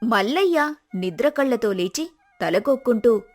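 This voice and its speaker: A woman's voice: on the high side, expressive, measured pace, faint music behind. A woman storyteller narrating a scripted folk tale.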